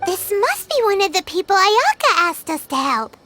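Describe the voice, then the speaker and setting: A woman voicing a small, curious, chatty childlike fairy companion with a squeaky voice in a video game. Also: big pitch swings, moderate pace, bright, forceful tone, faint music behind, exaggerated voice. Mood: puzzled. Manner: refers to self by name, inquisitive. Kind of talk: video game cutscene dialogue.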